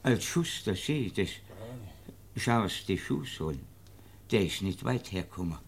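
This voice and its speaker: An actor playing an eager, deferential master shoemaker in a period radio drama, stammering and butting in obsequiously. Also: male voice, deep voice, expressive, moderate pace, vocal fry, breathy edge, exaggerated voice. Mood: insistent.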